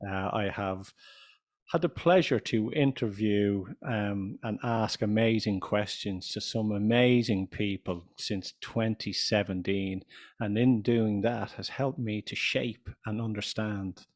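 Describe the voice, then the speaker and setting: A man in his fifties with an Irish accent talks as a podcast guest, with a lowish voice. He speaks slowly and clearly, self-assured and deliberate. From Ireland. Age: 50s. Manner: deliberate, self-assured, slows speech for clarity. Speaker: guest, business coach. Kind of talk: podcast interview.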